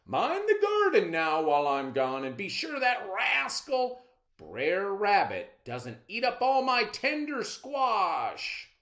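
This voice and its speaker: A man's voice: high-pitched, huge pitch swings, slow pace, a bit bright, reverberant, pitched above the performer's own voice, heavily acted. A man voicing a bossy, suspicious farmer father with an emphatic, scolding manner in a children's storybook. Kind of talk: children's storybook read-aloud.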